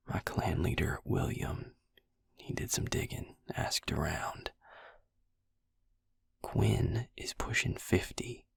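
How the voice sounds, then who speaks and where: A man acts out a protective, wry male vampire in an intimate audio roleplay. His voice is low and unhurried, sunk into a heavily fried, husky half-whisper. He sounds exasperated yet close, speaking straight to the listener in a dry, tight space. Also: no echo, full character voice. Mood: grave, earnest. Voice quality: low, gravelly, breathy near-whisper.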